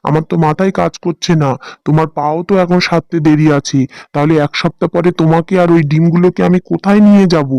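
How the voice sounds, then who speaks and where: An anxious little husband sparrow from a cartoon acted by a man. He frets and worries over his mate. His voice is a bit high for a male, recorded dry with no room around it. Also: fairly even intonation, fast, creaky, a little mellow, dry recording, muffled recording. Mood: panicked. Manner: worried, fretting. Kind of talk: cartoon voice acting.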